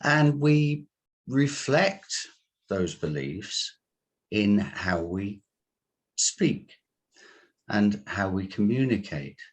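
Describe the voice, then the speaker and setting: A man, a coach being interviewed, explaining his work in deliberate, halting phrases. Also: fairly low pitch, animated. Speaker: guest, coach and hypnotherapist.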